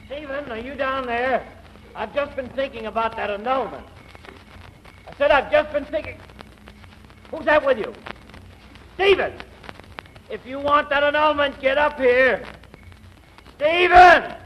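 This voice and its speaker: A woman's voice: sits high, animated, a little slow, pauses often, muffled recording. An actress playing a demanding, exasperated woman calling out in a 1940s radio play, on a noisy old recording. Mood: impatient, exasperated.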